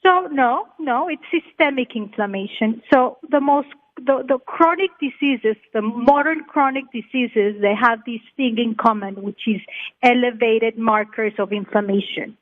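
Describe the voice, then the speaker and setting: A woman's voice: a little high, measured pace. Woman, Colombian Spanish accent, earnest explainer, tinny phone line. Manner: earnest, explanatory, light laughs. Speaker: cookbook author, Chinese medicine practitioner. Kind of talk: phone interview guest.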